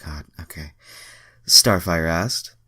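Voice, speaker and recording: A man's voice: low-pitched, slow, bright, forceful tone, dry recording, clean studio sound. A man reading fan fiction aloud as an amateur narrator, breaking into irreverent, self-deprecating asides.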